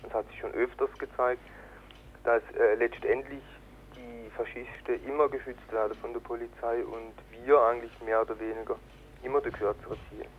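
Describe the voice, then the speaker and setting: Phone-like, monotone male activist interviewee. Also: medium pitch. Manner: halting, earnest explaining. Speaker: political activist being interviewed. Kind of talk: spontaneous spoken interview. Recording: noisy, tinny phone-like radio line.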